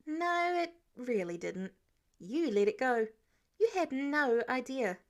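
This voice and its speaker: A cheeky teenage boy superhero, acted out by a woman reader. The pitch is fairly high and swings around a lot. The delivery is sassy and indignant, made for storybook dialogue. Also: expressive, exaggerated voice. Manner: sassy, indignant, quick to retort.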